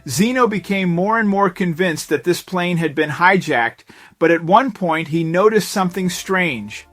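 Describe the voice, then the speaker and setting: Higher-pitched male story narrator over music. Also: expressive, measured pace, fairly bright tone, dry recording. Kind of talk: dramatic scripted story narration. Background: background music.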